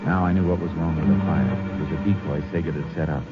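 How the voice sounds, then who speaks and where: A seasoned, stern Texas lawman in a 1950s radio western. His American voice is extremely low, clipped and commanding. The recording is muffled vintage radio with music around it. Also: steady tone, fast talker. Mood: tense narration. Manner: terse, clipped, authoritative. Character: stern, shrewd male Texas Ranger, a seasoned tracker. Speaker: lead actor and narrator.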